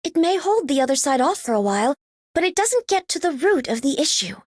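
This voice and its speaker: A determined young female operator from a game. Her very high voice is earnest and resolute, recorded close and dry. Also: very animated, fairly quick, dry recording. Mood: earnest resolve. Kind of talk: scripted game character voice lines.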